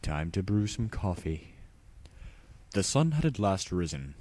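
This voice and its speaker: A man with a deep voice narrates a story in a dramatic audiobook style. The recording is dry, with very little room sound around the voice. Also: sing-song, a little slow, darkish tone, no room sound.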